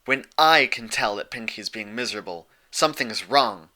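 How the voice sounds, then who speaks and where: A man's voice: lowish voice, fairly quick, pushed and bright. A man voicing an earnest, exasperated young female unicorn pony in a storybook reading, insistent and pleading. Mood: stern, exasperated.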